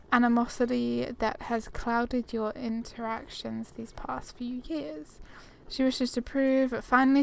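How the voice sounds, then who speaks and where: Girl gamer doing a playthrough, highish voice with vocal fry, playful and casual. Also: a little hiss or hum. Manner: playful, informal. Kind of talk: casual game playthrough commentary, reading dialogue aloud.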